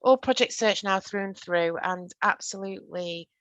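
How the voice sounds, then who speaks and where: A woman's voice: mid-range voice, moderate pace, fairly bright tone, dry recording. Middle-aged northern english woman on a headset, chatty and jokey, dry close sound. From north of England. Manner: chatty, self-deprecating, joking. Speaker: trainer. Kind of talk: casual presentation on a video call.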